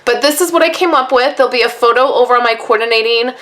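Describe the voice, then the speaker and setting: A woman crafter with a fairly high, slightly hoarse voice talks through a card-making project in a casual, rambling way. She apologizes now and then, and she sounds like she is speaking at a home craft table under an overhead camera. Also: fast, a bit bright. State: voice a little hoarse from allergies and little sleep. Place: home craft workspace, indoors.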